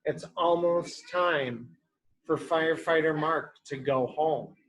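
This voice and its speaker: A man's voice: fairly high pitch, slow pace, fairly bright tone, rough recording. A man reading a picture book aloud to young children, explaining in simple, repeated words and asking questions as a teacher does.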